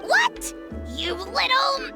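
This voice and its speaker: A man's voice: very high voice, huge pitch swings, extremely slow, music in the background, full character voice. A man voicing a tiny boastful dragon lord in a video game, pompous, scornful and theatrical. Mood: outraged. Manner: theatrical, bombastic, taunting. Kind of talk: video game character acting.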